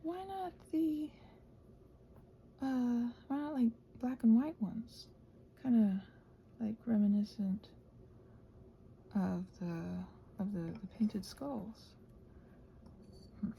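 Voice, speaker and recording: A woman chatting casually while crafting, soft and often dropping to a near-whisper, hesitant and thinking aloud, with playful little exclamations. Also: fairly high pitch, takes it slowly, gravelly, pauses often, a little hiss or hum, low-quality recording. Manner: hesitant, thinking aloud, trailing off mid-sentence. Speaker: host of a crafting ramble channel. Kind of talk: casual rambling craft chat, ASMR-like.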